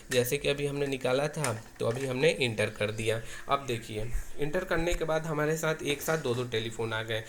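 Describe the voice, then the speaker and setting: A man's voice: medium pitch, slight background noise. An Indian man giving an informal hands-on tutorial, explaining step by step and often repeating himself. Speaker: tech tutorial presenter.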